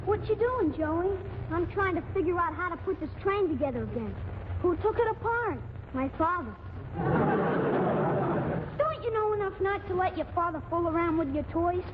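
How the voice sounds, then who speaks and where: A woman's voice: very high voice, animated, moderate pace. A woman playing cheeky, bickering young children in a 1940s radio comedy, with slangy childlike talk. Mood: curious, deadpan. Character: cheeky, grumbling young boys and a bossy little girl. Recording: tinny, noisy 1940s radio recording.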